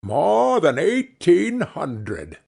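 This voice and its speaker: A reader puts on a jolly male giant spirit, genial and cheery, who gives his orders in a warm, commanding tone. The voice is slow and husky and swings widely in pitch. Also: wildly swooping pitch, heavily acted.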